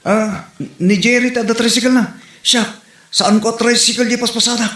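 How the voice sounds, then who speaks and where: High-voiced grumbling Ilocano comedy man by an actor. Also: steady tone, normal speed, fairly bright tone. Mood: indignant. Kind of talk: Ilocano comedy drama acting. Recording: echoey room with music bed.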